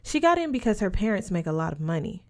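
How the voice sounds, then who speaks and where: A woman's voice: medium pitch, very animated, fast, darkish tone, natural voice. Woman reading as a confident, breezy teenage girl. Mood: knowing, gossipy. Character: confident, frank, worldly teenage boarding-school girl.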